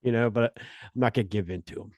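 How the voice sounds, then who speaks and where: A middle-aged man hosting a casual podcast chat, rambling and halting as he talks. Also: mid-range voice, darkish tone, slight background noise. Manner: rambling, halting, restarts often. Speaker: podcast host.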